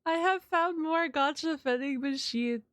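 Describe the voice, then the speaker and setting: A woman's voice: high voice, unhurried, fairly bright tone, no room sound, clean studio sound. A woman streamer chatting casually as she reacts to a video, in an informal, amused, exclamatory way.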